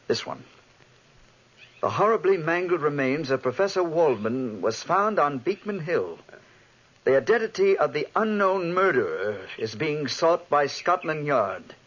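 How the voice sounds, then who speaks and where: Earnest, expressive loyal friend. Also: male voice, on the high side, a little slow, full character voice. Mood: earnest, troubled. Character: loyal, earnest gentleman, the scientist's concerned closest friend. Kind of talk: 1940s radio drama acting. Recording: noisy, muffled 1940s radio recording.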